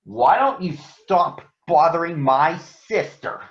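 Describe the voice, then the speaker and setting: A man's voice: a little high, animated, takes it slowly, pitched above the performer's own voice. A man voicing an indignant, brave young boy bear cub in a children's storybook, earnest and protesting. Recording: roomy echo, dull lo-fi sound.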